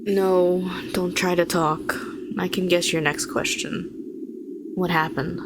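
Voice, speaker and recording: A woman acts out a fallen heroine turned telepathic villain in a dramatic audio roleplay. Her voice sits a little low and stays very level in pitch. She is bitter, weary and wry, putting pointed questions to the listener over clearly audible background noise. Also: flat delivery. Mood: resigned, wry. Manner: deliberate, sardonic, rhetorical questioning, condescending endearments.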